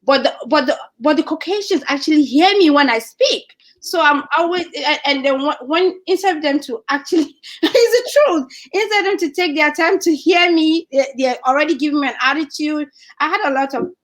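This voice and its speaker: Animated Nigerian woman, fairly high voice. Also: fairly quick. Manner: animated, laughs often, repeats words. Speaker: guest. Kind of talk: casual chat.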